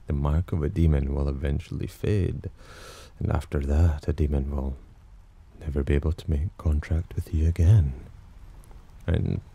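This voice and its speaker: A man playing a sly, possessive demon in an ASMR roleplay, speaking in a smug, teasing, softly commanding manner with a husky voice. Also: exaggerated voice. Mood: solemn, possessive. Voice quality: very deep, dark, husky. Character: possessive, amused, sly male demon protector. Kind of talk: ASMR roleplay, slow intimate acting.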